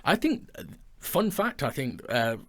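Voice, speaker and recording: A man's voice: fairly high pitch, sing-song, measured pace. A man with a British accent speaking casually, as in a discussion about his creative work in a studio. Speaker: audio drama writer and actor in a podcast discussion. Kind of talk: casual chat. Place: indoor studio.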